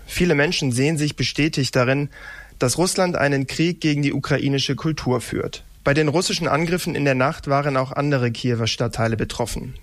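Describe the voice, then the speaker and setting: A man's voice: on the high side, dry recording. A man reading a scripted news report in a formal reporting style.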